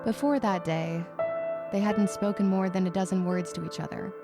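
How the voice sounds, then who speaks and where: A woman's voice: medium pitch, normal speed, over music. A woman reading scripted story narration in the third person. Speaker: audiobook narrator.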